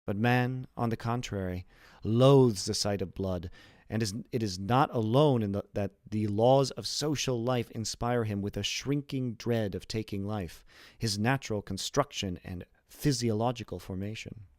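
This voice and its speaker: A man voicing a learned, principled old imprisoned abbé in a deliberate, formal, lecturing manner for an audiobook. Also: on the low side, a little mellow, dry recording, clean studio sound, close to an everyday voice. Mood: measured, explanatory. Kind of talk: audiobook character reading.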